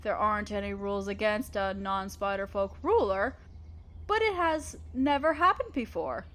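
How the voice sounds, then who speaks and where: A woman playing a gentle, motherly spider queen in a scripted audio roleplay, speaking tenderly and patiently to the listener. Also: mid-range voice, animated, normal speed, lightly acted. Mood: warm, reassuring. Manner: tender, patient, softly explaining.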